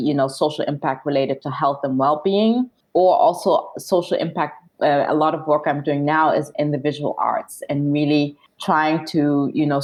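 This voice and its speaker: A woman from Germany speaks as a podcast guest with a notably low-pitched voice. She tells her story in a steady, reflective way, often leaning on "you know" as she thinks. Her delivery is conversational and unhurried. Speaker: podcast guest, social scientist. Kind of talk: casual interview chat.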